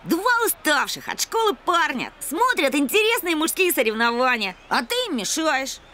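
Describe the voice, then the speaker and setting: A woman voicing a boastful, excitable sporty dog boy in an animated series, brash and slangy. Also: high-pitched, normal speed, exaggerated voice. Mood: defiant, boastful. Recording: music under the dialogue.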